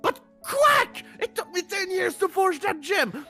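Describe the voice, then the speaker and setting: A man's voice: very high-pitched, very animated, measured pace, pushed and bright, soft background music, pitched above the performer's own voice, full character voice. A man voicing an indignant, villainous cartoon duck doctor with squawking, melodramatic outbursts. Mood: outraged.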